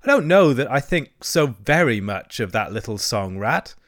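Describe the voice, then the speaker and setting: A man's voice: medium pitch, very animated, moderate pace, a bit bright, no room sound, clean studio sound. A man voicing a mild, polite mole in a children's story, agreeable and a little tentative, but eager. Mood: cautious.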